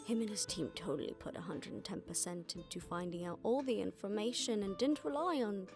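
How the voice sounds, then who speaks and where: A woman's voice: medium pitch, a little fast, over music, close to an everyday voice. A woman playing a jaded, sardonic witch detective who sees visions of death, talking conversationally to a partner in a roleplay audio drama. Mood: scornful, sarcastic.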